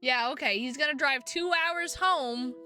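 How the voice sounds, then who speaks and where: A woman's voice: sits high, a little slow, bright, forceful tone. A woman streaming a visual novel, reading the text aloud and chatting to viewers with playful, goofy asides, on a close microphone. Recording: music behind the voice.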